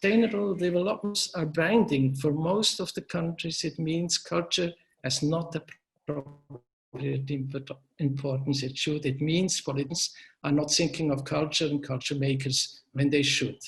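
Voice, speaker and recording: A man's voice: a little high, steady tone, vocal fry, rough recording. Earnest man. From Swiss and Italian. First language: German. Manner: earnest, slightly halting non-native phrasing. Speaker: poet and organization director, panel presenter. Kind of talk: prepared presentation on a video call. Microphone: video call.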